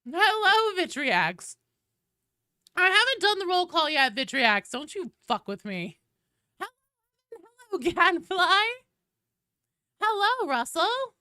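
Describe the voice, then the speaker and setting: Animated, bright-voiced woman streamer. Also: very high-pitched, takes it slowly, pauses often, no room sound, studio quality. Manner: animated, informal, freely swearing. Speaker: live streamer hosting a game stream. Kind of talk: casual livestream chat with viewers.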